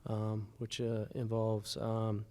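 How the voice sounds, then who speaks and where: A man who works for the city explaining repairs at a public board meeting in a measured, matter-of-fact way with many fillers. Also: on the low side, completely flat delivery, mellow.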